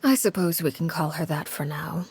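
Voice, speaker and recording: A woman voice actor playing a composed, cold, commanding woman who narrates a grim past in a game, in a measured, grave, articulate manner. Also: low-pitched, a little mellow, a little hiss or hum. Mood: grave, restrained. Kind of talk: scripted video game story narration.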